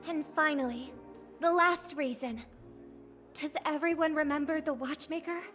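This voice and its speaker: An actress playing a poised young singer idol who gives a measured, formal, gracious ceremonial speech in a game. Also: female voice, high-pitched, fairly even intonation, fairly bright tone, soft background music.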